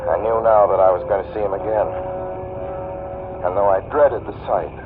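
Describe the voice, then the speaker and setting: A man acting a haunted, anxious motorist in a 1940s radio suspense drama, speaking deliberately with tense hesitations. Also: lowish voice, fairly even intonation, natural voice. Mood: brooding dread. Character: haunted male motorist, increasingly anxious, narrating his journey. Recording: scratchy, tinny 1940s radio recording, noisy.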